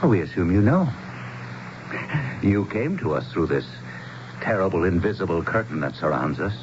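An actor playing an earnest, troubled small-town mayor in a radio drama, with a grave, halting manner. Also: male voice, sits low, sing-song. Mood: guarded, earnest. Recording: dull, noisy old radio broadcast.

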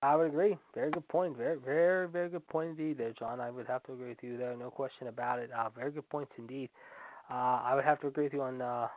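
A man's voice: medium pitch, fairly even intonation, fast. A man in his forties hosting a casual radio show, repeating stock phrases and addressing listeners like an emphatic announcer. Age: 40s. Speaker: host. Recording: dull lo-fi internet radio audio.

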